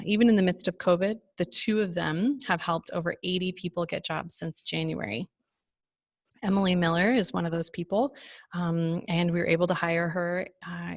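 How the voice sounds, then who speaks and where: Low, creaky-voiced woman giving a talk. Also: no room sound. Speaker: nonprofit leader hosting a fundraiser. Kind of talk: prepared fundraising talk.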